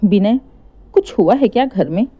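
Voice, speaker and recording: A woman's voice: medium pitch, animated, moderate pace, husky, lo-fi sound. A woman voicing a distraught young mother pleading anxiously for her children in an emotional story.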